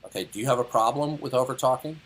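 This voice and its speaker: A man's voice: medium pitch, fast talker, low-quality recording. A man in his late fifties arguing in a heated debate, lecturing and interrupting in a condescending way. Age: 50s. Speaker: debate caller.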